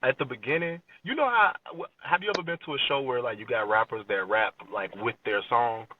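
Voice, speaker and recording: A man's voice: mid-range voice, a little fast, slight background noise. An American man in his late twenties talking casually as an interview guest, informal and slangy, often checking that the listener follows. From Chicago, USA. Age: late 20s. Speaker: rap artist, interview guest. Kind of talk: casual podcast interview chat. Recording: dull lo-fi sound, distant from mic.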